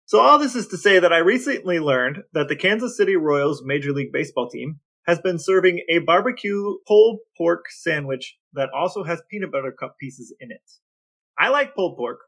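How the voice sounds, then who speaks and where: A man's voice: on the high side, expressive, normal speed, vocal fry, fairly bright tone. An American man co-hosting a podcast with casual, conversational talk. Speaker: podcast co-host.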